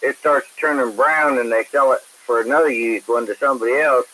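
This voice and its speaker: An American man talks over a CB radio in a medium-pitched voice. His delivery is casual and chatty, with a joking style. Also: fairly even intonation, a little fast, noisy background. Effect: CB radio transmission. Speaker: CB radio operator.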